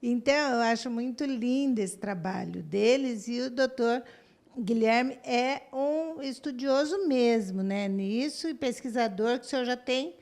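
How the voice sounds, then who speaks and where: High-voiced Brazilian woman hosting a chat. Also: measured pace, darkish tone. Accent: Brazilian Portuguese. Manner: admiring, frequently seeks agreement with tag questions. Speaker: talk show host. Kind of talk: conversational interview.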